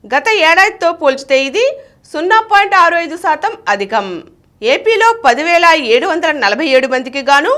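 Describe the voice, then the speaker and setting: High-pitched, lively woman reading the news. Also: moderate pace. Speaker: news reader. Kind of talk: scripted news bulletin reading.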